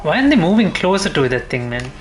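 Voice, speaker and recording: A man's voice: fairly high pitch, big pitch swings, quick pace. A man giving casual gameplay commentary, thinking aloud to himself as he plays. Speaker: gaming video host. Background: game music.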